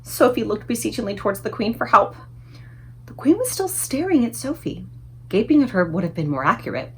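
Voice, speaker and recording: A woman reading a children's book aloud as an expressive storyteller. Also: fairly high pitch, fairly quick, a little hiss or hum, low-quality recording.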